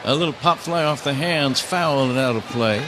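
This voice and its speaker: A man calling baseball play-by-play live on the radio, slipping wry, casual banter between pitch calls. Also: normal speed. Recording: clear ballpark noise behind. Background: outdoor stadium ambience.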